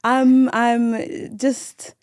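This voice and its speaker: A woman's voice: a little high, little pitch movement, very slow, a little mellow, smooth, dry recording, studio quality. A woman in her early thirties chatting casually as a guest on a podcast. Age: early 30s. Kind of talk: casual chat.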